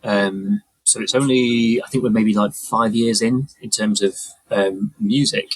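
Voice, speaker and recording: Bit husky guy chatting and explaining stuff, really dry close sound. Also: lowish voice, fairly even intonation, measured pace, dry recording, poor audio. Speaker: podcast guest, audio mixer. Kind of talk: casual explanatory conversation.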